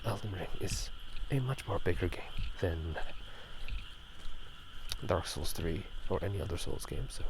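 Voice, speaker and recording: A man speaking in soft whispers for a casual ASMR gaming chat outdoors at night, unscripted and rambling, often correcting himself. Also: low-pitched, animated, unhurried, noisy background. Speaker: video host. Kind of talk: whispered ASMR chat about video games. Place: outdoors at night near rice fields.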